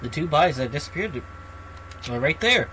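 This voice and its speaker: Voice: medium pitch, lively intonation, moderate pace, pushed and bright, slight background noise, poor audio. A game streamer with a wry manner, chatting and reading game dialogue aloud in a live playthrough, with music behind.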